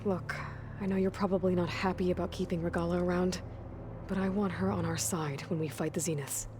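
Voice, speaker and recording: An actress playing a confident, pragmatic young heroine in a video game, plain-spoken and direct. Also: female voice, fairly low pitch, steady tone, a little fast, background noise, natural voice. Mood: determined. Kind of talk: video game character dialogue.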